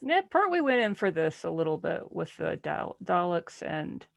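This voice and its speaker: A woman's voice: lowish voice, sing-song, normal speed, vocal fry, no echo. A woman on a book club panel talking casually and analytically, with dry contrarian humor. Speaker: podcast panelist.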